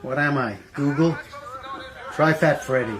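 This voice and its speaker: An actor playing a surly New janitor in a radio drama, curt and sarcastic. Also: male voice, on the high side, measured pace, fairly bright tone, some chatter behind.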